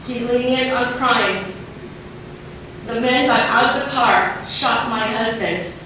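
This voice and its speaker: A woman's voice: fairly high pitch, slow. Earnest woman testifying in an echoing hall. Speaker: public commenter. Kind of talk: public comment at a board meeting. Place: large public meeting chamber. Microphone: body camera across the room. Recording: echoey hall heard from far off, noisy.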